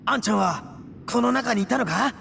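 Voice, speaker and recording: Loud cocky young oni gang boss, gravelly and kinda high, rough slangy game voice, music behind. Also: male voice, a little fast, big-room sound, slight background noise, exaggerated voice. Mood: eager. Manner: rough, swaggering, slangy speech. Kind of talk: video game voice acting.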